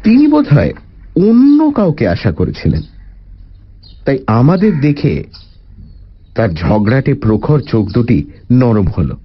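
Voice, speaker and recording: A man narrating a story in the first person, with an expressive, deliberate dramatic delivery. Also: medium pitch, husky, dull audio. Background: faint music.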